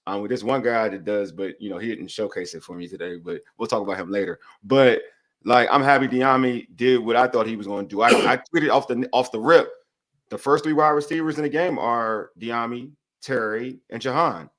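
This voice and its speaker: A man co-hosting a casual sports talk show, talking in quick bursts with frequent restarts. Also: mid-range voice. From Nigeria. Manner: animated, talks through restarts. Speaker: podcast co-host.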